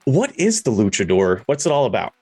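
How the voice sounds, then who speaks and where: Male podcast host, husky, dry close sound, chatty and keen, interviewing casually. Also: mid-range voice, wildly swooping pitch, brisk, dark tone, dry recording, faint music behind. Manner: enthusiastic, chatty.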